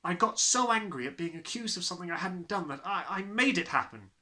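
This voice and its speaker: An excitable little schoolgirl from a children's storybook, acted by a man. The voice sits high and bright, swinging animatedly as she insists earnestly and eagerly. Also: fairly quick, exaggerated voice. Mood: excited, intense.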